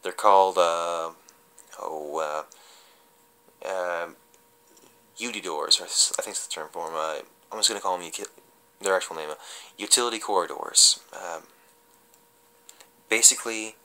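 A man giving an amateur dramatic reading of a horror story as a confessional, halting and stumbling, with drawn-out pauses. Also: sits low, pushed and bright, smooth.

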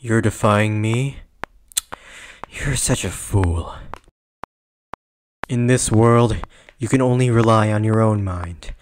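A brooding, arrogant male anime edgelord, acted by a man, cold and serious. He speaks slowly and deliberately, with faint noise on the home recording. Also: fairly low pitch. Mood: cold disdain.

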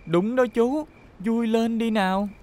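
A woman's voice: fairly high pitch, slow pace. A woman voicing an upbeat young male police-car robot who leads a team in a children's cartoon dub. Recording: loud music behind.

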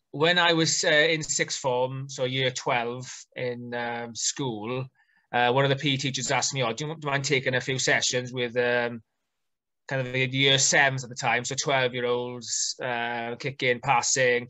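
Bright-voiced Welsh man in his thirties. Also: mid-range voice, measured pace, poor audio. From Wales. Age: 30s. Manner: relaxed, chatty, many ums and fillers. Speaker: rugby coach, guest. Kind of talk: casual video-call interview. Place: small home room indoors. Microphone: video call webcam.

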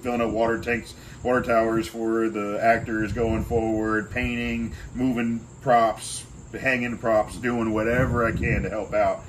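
Low-voiced man giving plain emphatic answers. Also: level tone, a little slow, few pauses, slight background noise, rough recording. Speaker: guest, haunt actor coordinator. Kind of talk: casual interview answers. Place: outdoor covered porch at night.

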